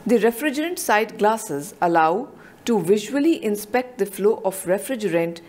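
A woman narrating a scripted technical explanation in a measured, explanatory voice-over. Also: medium pitch, lively intonation, darkish tone.

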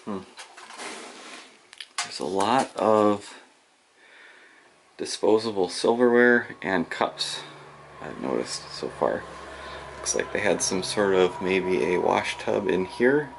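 A man with a deep, gravelly voice talks slowly and half to himself while walking through an abandoned farmhouse. His narration is musing and low-key, often trailing off into a whisper. The handheld camera audio carries clear background noise. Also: lively intonation. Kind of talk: casual walkthrough narration.

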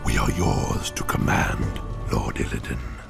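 A solemn alien elder with a cavernously deep, gravelly voice. He sounds grave and vengeful in a fantasy game, over loud music. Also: steady tone, measured pace, exaggerated voice. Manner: solemn, formal. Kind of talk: dramatic video game cutscene acting.

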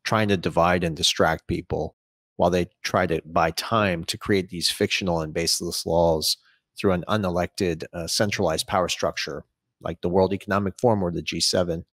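A man's voice: sits low, fairly even intonation, normal speed, fairly bright tone, no echo, studio quality. A man in his thirties from Saudi Arabia, hosting an interview over a video call with long, opinionated questions. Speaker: interviewer and host. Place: small home room, indoors.

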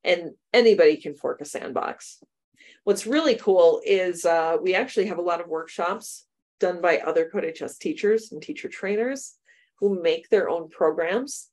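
A woman's voice: on the low side, normal speed, a little mellow. Chatty American woman leading a teacher webinar. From United States. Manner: chatty and upbeat, laughs often. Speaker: computer science teacher trainer. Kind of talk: webinar workshop demo. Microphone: webcam video call.

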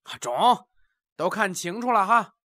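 A woman's voice: mid-range voice, animated, moderate pace, no echo, studio quality. A woman playing a rustic, eager male brothel attendant in a comic audio drama. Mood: eager, showy. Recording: loud music behind the voice.

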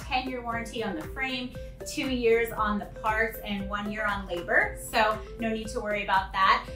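A woman in her thirties gives a casual product review to camera. Her voice is fairly high, and the room rings around her with some music underneath. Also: bright, forceful tone. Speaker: fitness equipment reviewer. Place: bare-walled home gym in a garage, indoors. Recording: echoey room, faint music behind.

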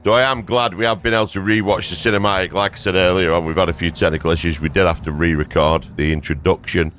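A hoarse man giving casual, rambling gameplay commentary as a gaming YouTuber, thanking his viewers. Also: sits low, fairly even intonation, fast talker, fairly bright tone, slight background noise.

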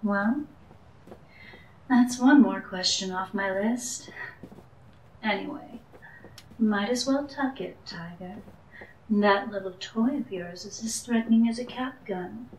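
A woman's voice: medium pitch, slow pace, pauses often, natural voice. Woman as a sly femme fatale, roomy sound. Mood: sardonic. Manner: teasing, cool, sardonic. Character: sly, unflappable noir femme fatale, teasing and evasive. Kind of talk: noir radio drama acting. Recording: roomy, distant mic, faint noise.

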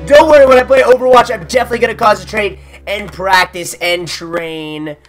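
A young man streaming a war game, loud, swearing and yelling at the action, into a headset mic in a small room. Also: high-pitched, brisk. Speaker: gaming streamer. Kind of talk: let's play commentary. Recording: loud game music and gunfire behind.

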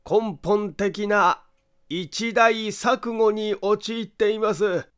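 A man voicing an eerie detective in an audiobook, slow, deliberate and insistent as he lays out a crime. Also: high-pitched, steady tone, fairly bright tone, smooth, full character voice. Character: eerie, slow, low-spoken male detective laying out a crime. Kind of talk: dramatic audiobook character acting.

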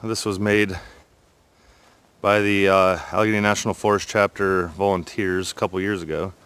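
A middle-aged man with a low, deep voice talks his way through a walk in the woods. He rambles in an easygoing, unhurried manner. The sound comes from a handheld phone held outdoors among the trees. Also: level tone, fairly bright tone, smooth, no room sound. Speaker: hiking vlogger. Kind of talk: casual narration on a hike. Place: outdoors in a forest.

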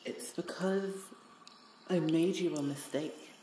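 Woman acting a slow-spoken, heartbroken supernatural woman. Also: deep voice, warm tone, far from the mic. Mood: sorrowful, wounded. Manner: emotional, halting, dramatic delivery. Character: bitter, heartbroken, vengeful yandere woman with supernatural power. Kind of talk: dramatic audio roleplay. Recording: roomy sound with faint noise.

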